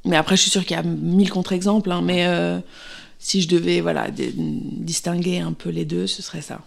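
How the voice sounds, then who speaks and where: A woman's voice: on the low side, fairly even intonation, moderate pace, gravelly. A woman in her thirties, an actress answering interview questions, pregnant, speaking hesitantly with many fillers and restarts.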